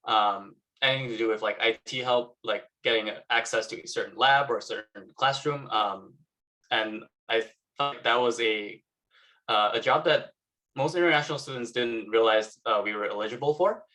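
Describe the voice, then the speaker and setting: Halting young man from Malaysia, bright voice. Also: mid-range voice, low-quality recording. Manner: halting, many fillers. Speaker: alumnus speaker. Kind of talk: casual talk with slides.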